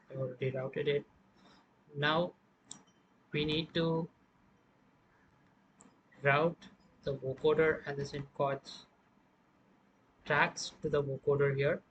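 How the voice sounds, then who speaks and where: A man narrating a casual step-by-step music production tutorial, speaking haltingly as he works. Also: a little high, a little hiss or hum, low-quality recording.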